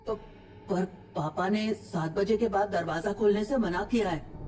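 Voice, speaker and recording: A woman's voice: mid-range voice, unhurried, darkish tone, distant mic, faint music behind, lightly acted. A woman voicing a naive, obedient young village boy who speaks hesitantly and stammers in a horror audio drama. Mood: stunned, torn.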